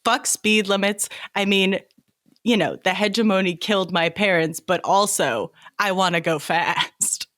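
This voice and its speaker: A woman's voice: mid-range voice, measured pace, fairly bright tone, no echo, studio quality. A woman in a podcast cast, chatting casually in an irreverent, freely swearing manner. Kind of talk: casual chat.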